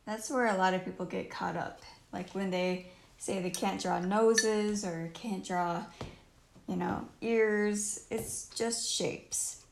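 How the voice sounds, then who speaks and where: Croaky woman teaching painting, slow and roomy. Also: mid-range voice, steady tone. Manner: thinks aloud in short phrases while painting. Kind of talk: casual live painting tutorial. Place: indoors at home, live stream while painting. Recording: roomy live stream with faint noise and clicks.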